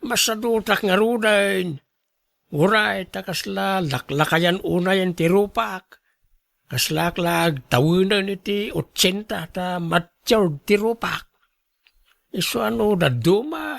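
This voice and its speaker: A man playing a wheedling, stubborn elderly beggar in a radio drama, pleading with drawn-out cries. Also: sits high, vocal fry, fairly bright tone, dry recording, studio quality, exaggerated voice. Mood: scheming, stubborn. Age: older. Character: wheedling, stubborn elderly husband begging on the street. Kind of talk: Ilocano radio drama acting.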